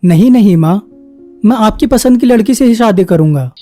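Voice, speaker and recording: An earnest young husband and son from a cartoon family drama, acted out by a woman. The voice is soft-edged and explanatory, with faint music playing underneath. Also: medium pitch, animated, moderate pace, natural voice. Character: dutiful adult son and husband, young man. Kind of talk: Hindi cartoon story voice acting.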